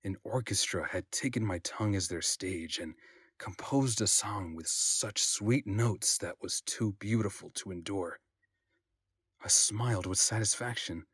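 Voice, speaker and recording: A man's voice: fairly low pitch, a little slow, fairly bright tone, slightly rough, no room sound, clean studio sound. A man reading scripted audiobook narration as a voice-over narrator.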